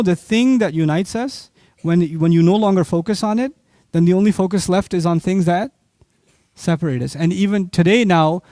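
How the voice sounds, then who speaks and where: A man from Pakistan giving an animated religious lecture, asking the audience rhetorical questions. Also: high voice, dry recording, studio quality. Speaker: Islamic speaker.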